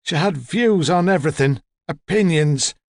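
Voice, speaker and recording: A man voicing a haughty, demanding old woman with a hoarse, rasping voice, in an audiobook story. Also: a little high, expressive, moderate pace, no room sound, studio quality, voice pushed up. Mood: opinionated, dismissive. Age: older. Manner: demanding, curt, imperious. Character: sharp, haughty, opinionated old woman, large and deaf.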